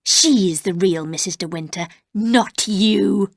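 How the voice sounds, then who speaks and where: A woman puts on a bitter, menacing housekeeper who drags her words out slowly and sinks into a hard whisper. The voice sits a touch lower than the reader's own. It is recorded close and dry. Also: vocal fry, no echo. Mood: cold menace. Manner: slow, low, cold, often whispered.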